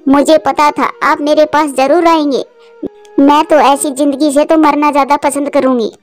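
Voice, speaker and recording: A woman voicing an ageing, soft-hearted mother bird in a cartoon, speaking in an earnest, worried, pleading way. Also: high-pitched, little pitch movement, moderate pace, faint music behind, lightly acted. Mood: tearful pleading. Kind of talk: Hindi cartoon voice acting.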